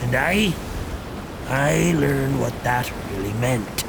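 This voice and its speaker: A man voicing a cursed, ghostly old pirate captain, telling grim tales in a theatrical, gravelly pirate dialect with an ominous, grandiose manner. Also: medium pitch, animated, noisy background. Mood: brooding, haunted. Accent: pirate-style dialect. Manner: ominous, grandiose, deliberate rhetorical delivery. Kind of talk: theatrical scripted monologue.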